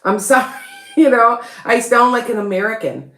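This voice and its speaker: A woman's voice: a little high, expressive, normal speed, a bit bright, echoey room. A woman with a Chicago accent, hosting a rambling, chatty live stream as a psychic reader and laughing often. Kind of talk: casual live-stream chat.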